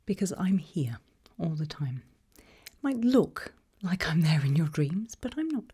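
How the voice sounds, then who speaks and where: Breathy whispering British woman, slow and soothing. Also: lowish voice, very animated. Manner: gentle, soothing, unhurried reassurance. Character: calm, attentive sleep clinic practitioner. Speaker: ASMR roleplayer playing a sleep clinic practitioner. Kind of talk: whispered ASMR roleplay.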